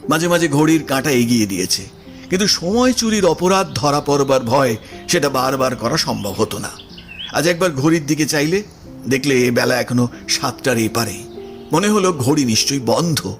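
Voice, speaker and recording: A man's voice: medium pitch, lively intonation, moderate pace. A man reading literary prose as the narrator of an audio story. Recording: music under the voice. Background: background music.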